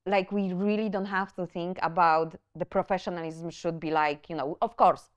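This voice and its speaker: A woman's voice: on the low side, normal speed, dull audio. A woman from Poland with a Polish accent, hosting a podcast as a nail educator, talking animatedly with frequent restarts. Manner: animated, restarts words, loose English grammar. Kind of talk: casual chat.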